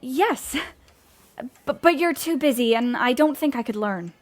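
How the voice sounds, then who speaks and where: A woman's voice: sits high, lively intonation, measured pace. A woman playing an eager, polite young woman writer in an audio drama, earnest and easily flustered. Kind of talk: audio drama acting with letter narration. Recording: music behind the voice. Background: music.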